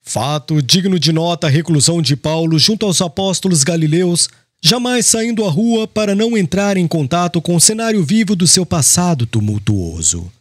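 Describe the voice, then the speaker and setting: A man narrating a literary audiobook in a formal, expressive reading style. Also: fairly high pitch, normal speed, dry recording, studio quality.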